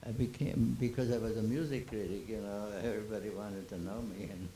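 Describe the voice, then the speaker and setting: A man in his nineties, born in Austria with German as his first language, telling old stories in a halting, chuckling way with many restarts. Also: lowish voice, fairly even intonation, heavy background noise. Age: 90s. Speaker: interviewee. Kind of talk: reminiscing Q&A.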